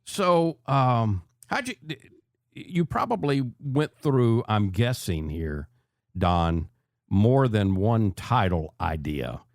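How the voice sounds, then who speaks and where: An older man hosting a radio interview show in a conversational, folksy way, with halting asides as he works toward his questions. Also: on the low side, sing-song, a little mellow, no room sound, clean studio sound.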